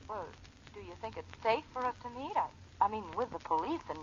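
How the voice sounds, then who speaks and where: A woman's voice: on the high side, animated, fairly quick, a little hiss or hum, close to an everyday voice. An American actress playing a chatty, trusting, slightly impatient young woman in a 1940s radio drama. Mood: hesitant. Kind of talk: 1940s radio detective acting. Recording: dull 1940s radio broadcast recording.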